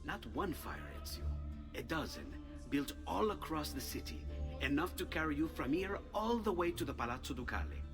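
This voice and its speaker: Excitable Italian inventor, wildly swooping voice. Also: male voice, a little high, normal speed, distant mic. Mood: inspired. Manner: expressive, earnest, exclamatory. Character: excitable, brainy male Renaissance inventor, earnest and anxious. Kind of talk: video game voice acting. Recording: echoey reverb, music underneath. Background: game music.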